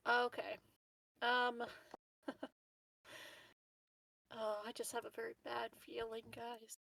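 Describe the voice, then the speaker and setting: A woman's voice: on the high side, flat delivery, slow pace, creaky, fairly bright tone, a little hiss or hum. A woman chatting casually as a player in a tabletop roleplaying game.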